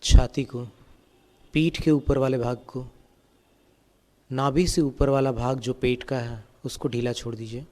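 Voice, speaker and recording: Calm guy leading guided meditation, really slow and gentle, soft mellow voice. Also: mid-range voice. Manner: calm, gentle, unhurried step-by-step instruction. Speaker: Jain monk and meditation teacher.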